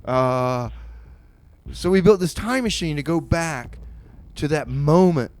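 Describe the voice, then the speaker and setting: A man hosting a community radio music show with casual, rambling, tongue-in-cheek chat, often halting and repeating his words. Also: a little high, lively intonation, darkish tone.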